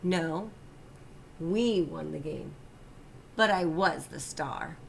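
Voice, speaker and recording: A woman's voice: sits low, lively intonation, slow pace, gravelly, slight background noise, exaggerated voice. A woman reading the part of an earnest, eager young boy in a children's storybook. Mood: confident.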